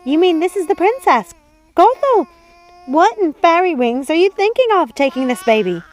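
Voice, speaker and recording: A woman's voice: very high-pitched, big pitch swings, normal speed, voice pushed up. A woman voicing a fretful, scolding but kindly old housekeeper in a storybook reading, full of folksy, questioning exclamations. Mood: aghast, scolding. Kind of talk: storybook character voice.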